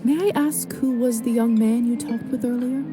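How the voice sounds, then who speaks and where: A woman playing a polite, earnest young newly turned vampire in a video game, speaking in a measured way. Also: a little high, fairly even intonation, over music, natural voice. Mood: polite, curious. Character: polite, curious, uneasy young female new vampire. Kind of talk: video game character acting.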